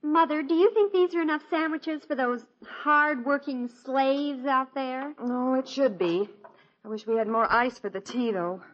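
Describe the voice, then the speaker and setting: A woman's voice: sits high, normal speed, soft background music, close to an everyday voice. A woman playing a frazzled, wryly grumbling American suburban homemaker in a 1950s radio sitcom. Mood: wry, exasperated. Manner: wry, exasperated. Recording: muffled old-time radio recording.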